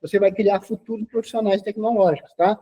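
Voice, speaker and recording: A man's voice: sits high, steady tone, brisk, muffled recording. A man of sixty, an interview guest, talking in a lecturing way and tagging his sentences with a questioning "okay?". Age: 60s. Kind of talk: casual interview talk.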